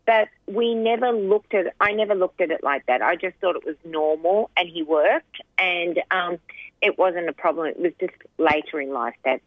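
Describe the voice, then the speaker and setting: A low-pitched woman in her sixties, from Sri Lanka, recounts her past in a soft, hesitant way with frequent pauses. Her voice comes through a narrow, phone-like line with a dry sound. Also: no room sound. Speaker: interviewee. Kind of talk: personal storytelling interview.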